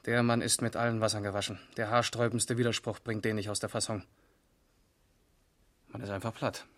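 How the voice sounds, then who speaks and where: A man acting a police investigator in a radio crime play. His voice is fairly low, and he talks in short, emphatic phrases, matter-of-fact yet exasperated. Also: flat delivery, fairly quick, fairly bright tone, natural voice.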